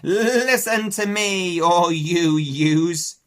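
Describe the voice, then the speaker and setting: A gruff, motherly old ewe from a storybook croaks out her words in a slow country drawl, as a man reading aloud puts her on. The voice is hoarse and pushed up high, with a blunt, rustic manner. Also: animated, pushed and bright, heavily acted.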